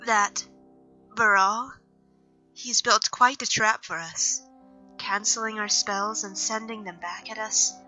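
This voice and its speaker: Woman acting an earnest elf mage, bright voice. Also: mid-range voice, fairly even intonation, takes it slowly, lo-fi sound, faint music behind. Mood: grim, despairing. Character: Nissa, earnest, gentle female elf nature mage. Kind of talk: fantasy audio drama acting.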